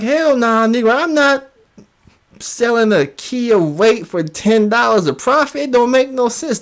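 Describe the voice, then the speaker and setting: Male online commentator, slow delivery, emphatic and taunting, repeats phrases for effect. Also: high-pitched. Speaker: internet commentator and show host. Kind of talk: mocking reaction commentary.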